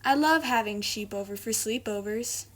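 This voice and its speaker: A woman's voice: on the high side, moderate pace, lightly acted. A woman voicing a cheerful, hospitable female rabbit in a children's storybook, with bustling, exclaiming delivery. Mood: welcoming.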